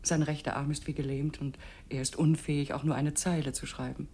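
An actress playing an anxious, devoted upper-class wife in a German radio play, speaking in a refined, confiding manner. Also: female voice, sits low, flat delivery, a little fast, warm tone, husky. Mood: confiding, concerned.

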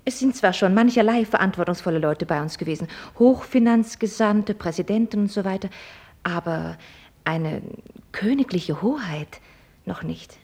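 Actress as a husky, proud, sharp-tongued young lady. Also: medium pitch, normal speed, lightly acted. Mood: mocking politeness. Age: young adult. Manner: ironic, pointedly formal, probing. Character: proud, sharp-tongued young American millionaire's daughter, mockingly polite. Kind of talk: German radio play acting.

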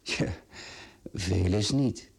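Ruthless tycoon, Flemish accent, condescending, commanding, mocking, radio drama. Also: male voice, deep voice, very slow, creaky, darkish tone, full character voice. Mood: persuasive, patronising. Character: ruthless, self-made business tycoon, condescending and domineering. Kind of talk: radio play acting.

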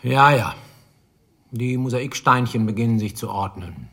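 An actor playing a shrewd, dogged police lieutenant in a radio crime drama, probing deliberately with dry irony. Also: male voice, medium pitch, a bit bright.

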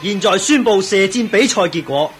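An actor playing a dutiful court official in a radio drama, formal, declamatory and deferential. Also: male voice, high-pitched, expressive, normal speed, faint music behind. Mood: announcing. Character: dutiful court official announcing royal contest results.

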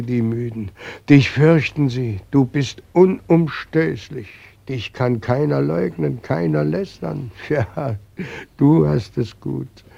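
Sad helpless old man god, husky and dark, repeats his laments, muffled old radio play sound. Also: medium pitch, exaggerated voice. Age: older. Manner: lamenting, slow, repetitive. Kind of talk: post-war German radio play acting. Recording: dull muffled radio recording.

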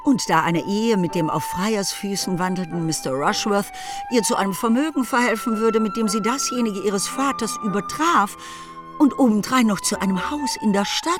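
A woman narrating a German literary radio play from a script, in a wry, expressive manner. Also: medium pitch, normal speed. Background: music.

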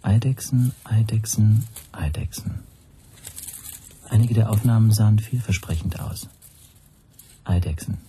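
An actor playing the reflective first-person narrator of a thriller radio play, an accused amateur photographer recalling events in a measured, suspenseful way. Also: male voice, on the low side, mellow, slight background noise, natural voice. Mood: tense recollection.

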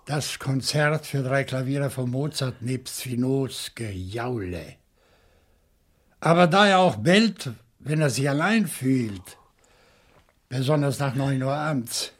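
Husky, deliberate professor sleuth. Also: male voice, mid-range voice. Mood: wry, aggrieved. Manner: deliberate, theatrical, reasoning step by step. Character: shrewd, indignant male professor turned amateur sleuth. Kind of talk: German radio crime play acting.

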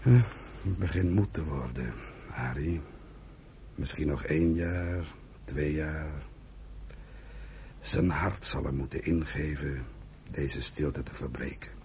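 A weary old uncle in a radio play. His voice is extremely low and full of gravel, and he speaks slowly and heavily, with wistful longing. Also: warm tone, slight background noise, exaggerated voice. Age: older. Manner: slow, heavy, halting delivery. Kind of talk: radio drama acting.

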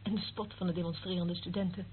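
A woman's voice: on the low side, steady tone, fast, close to an everyday voice. An actress playing a cynical female eavesdropper in a radio play, sardonic and theatrical, relishing what she knows. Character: cynical, gloating female eavesdropper of a secret service. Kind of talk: radio drama acting. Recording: narrow tinny old radio recording, faint noise.

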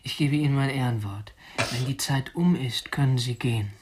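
An actor playing a prim, obsessive young kidnapper in a radio drama, speaking in a stiff, formal, matter-of-fact way. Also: male voice, mid-range voice, moderate pace, breathy edge, natural voice. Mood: earnest pleading. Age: 20s. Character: prim, obsessive young male kidnapper, narrating his deed. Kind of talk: radio drama narration and dialogue.

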